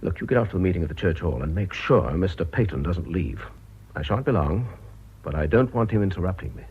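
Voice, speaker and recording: Suave sardonic sleuth, husky voice, wry, radio drama, dull recording. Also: male voice, low-pitched, animated, fairly quick, heavily acted. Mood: shrewd. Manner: wry, articulate, needling. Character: suave, sardonic amateur sleuth, clever and goading. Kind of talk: British radio drama acting.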